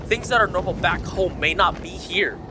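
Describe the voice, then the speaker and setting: A man's voice: high voice, normal speed, noisy background, close to an everyday voice. A man playing an earnest young mage hero in a game fandub, careful and instructive. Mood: earnest, focused. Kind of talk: anime game fandub acting.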